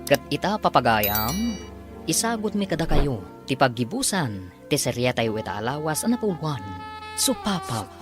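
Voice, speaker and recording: A woman announces a radio drama in an Ilocano accent, reading scripted credits dramatically. Her voice is extremely low for a woman and moves with lively intonation. Music plays clearly behind her. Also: moderate pace.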